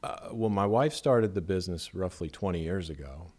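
Interview guest: deep-voiced middle-aged architect from Las Vegas, measured and thoughtful, lots of ums. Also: male voice, a little mellow. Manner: measured, thoughtful, with many fillers. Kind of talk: relaxed conversational interview.

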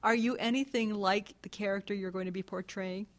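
A woman's voice: mid-range voice, fairly even intonation, fairly quick. A woman hosting an interview with effusive, laughing enthusiasm. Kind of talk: interview chat.

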